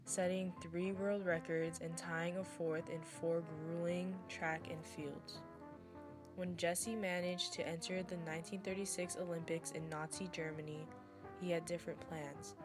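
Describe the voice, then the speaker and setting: A young woman presenting a sports segment as scripted narration, with music behind her. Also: lowish voice.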